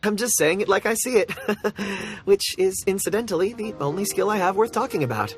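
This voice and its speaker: Animated, eloquent wandering connoisseur. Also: male voice, high voice, normal speed, loud music behind the voice. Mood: amused. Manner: courteous, theatrical, eloquent. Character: affable, well-spoken, enigmatic wandering rock connoisseur. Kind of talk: video game character acting.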